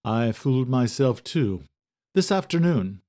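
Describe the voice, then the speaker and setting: Man acting a formal, measured male doctor. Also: medium pitch, big pitch swings, mellow. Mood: familiar, weary. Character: formal, troubled male geneticist doctor, pipe smoker.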